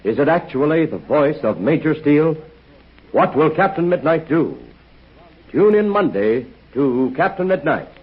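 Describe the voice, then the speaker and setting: Male radio announcer, 1940s, husky and animated, muffled old disc sound. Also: mid-range voice. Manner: lively, salesmanlike. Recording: dull old radio transcription.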